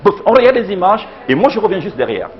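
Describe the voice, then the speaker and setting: Male show host, high-pitched voice, presenter delivery, slightly muffled recording. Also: big pitch swings, fast. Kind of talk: presenting a segment. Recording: dull lo-fi audio.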